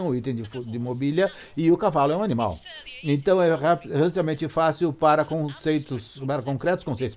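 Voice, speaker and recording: A Brazilian man with a fairly high voice works as a live interpreter for an academic lecture. His phrasing is halting, and he corrects himself as he goes. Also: dark tone. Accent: Brazilian Portuguese.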